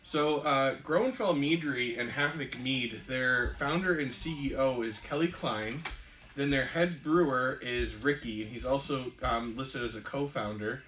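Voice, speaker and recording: Bright-voiced man telling a rambling story. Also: medium pitch, a little slow, slight background noise, poor audio. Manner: rambling storyteller with many fillers. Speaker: podcast co-host. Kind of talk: casual chat.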